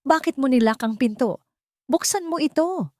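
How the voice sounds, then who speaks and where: Woman voicing an angry, controlling husband, raised pitch. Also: animated, normal speed, a little mellow, dry recording, clean studio sound. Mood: angry, demanding. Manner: demanding, accusing.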